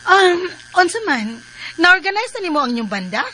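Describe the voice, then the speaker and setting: High-voiced, teasing young Filipina. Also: female voice, sing-song, moderate pace, bright, forceful tone, a little hiss or hum, heavily acted, rough recording. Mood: coy, teasing. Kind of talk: Filipino radio drama acting.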